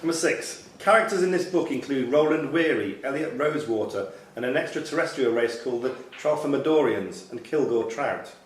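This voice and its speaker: A man's voice: medium pitch, expressive, fairly quick, hardly any pauses. Echoey male quizmaster reading out questions. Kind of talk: reading quiz questions aloud. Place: indoor club room. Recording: echoey club room with background noise.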